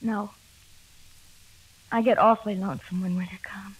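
A woman playing a gentle, wistful, lonesome young woman, soft-spoken and plaintive, in a 1940s radio drama. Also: medium pitch, steady tone, normal speed, slightly rough, lightly acted, poor audio.

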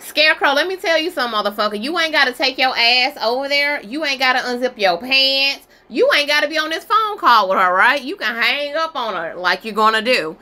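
A woman's voice: high-pitched, expressive, fairly quick, hardly any pauses, bright, forceful tone, slight background noise. A woman giving casual reaction commentary on gossip, sassy and exclamatory, talking straight to her listeners.

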